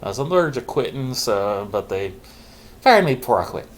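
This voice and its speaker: A middle-aged man, a vlogger, candidly telling his own story in a halting, reflective way, holding his naturally loud voice down low. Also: big pitch swings, a little hiss or hum. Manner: halting and reflective, with pauses and restarts; says he is keeping his loud voice low on purpose. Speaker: vlogger sharing his mental health story.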